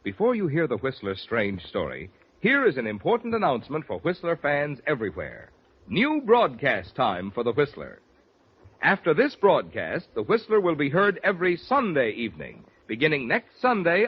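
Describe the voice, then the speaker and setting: A man's voice: fairly high pitch, wildly swooping pitch, normal speed, husky. A man announcing in an emphatic, salesmanlike 1940s radio style. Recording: dull 1940s radio recording, music.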